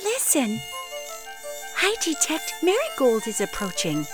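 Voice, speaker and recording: An actress playing a prim, excitable, tiny elderly fairy with formal, wordy phrasing in a fairytale audio drama. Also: female voice, high-pitched, unhurried, over music, exaggerated voice.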